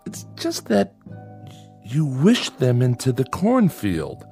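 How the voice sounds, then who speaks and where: Nervous, folksy farmer dad, swooping pitch. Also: male voice, huge pitch swings, gravelly, dark tone, soft background music, full character voice. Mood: anxious false cheer. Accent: American. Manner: folksy, halting, stammering, over-agreeable. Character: nervous farmer father, falsely cheerful, placating his son. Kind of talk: radio drama acting.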